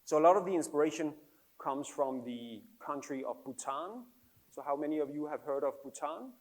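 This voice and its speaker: A man with a Danish accent, a happiness researcher giving a public talk to an audience in an earnest, explanatory way with gentle humor. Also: on the high side, lively intonation, moderate pace. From Denmark.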